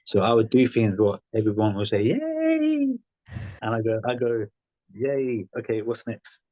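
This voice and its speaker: A man's voice: medium pitch, wildly swooping pitch, unhurried, no room sound, muffled recording. A man in his thirties, a podcast guest, talking frankly in loose, rambling phrases with plenty of "um" and "like". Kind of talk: conversational interview.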